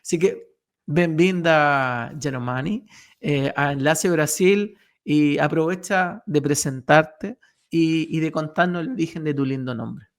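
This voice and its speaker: A man co-hosts a radio conversation, his voice sitting a little high for a man. He hesitates often, filling gaps with "eh", and moves between Spanish and Portuguese. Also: a little slow. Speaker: radio show co-host. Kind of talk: radio interview hosting.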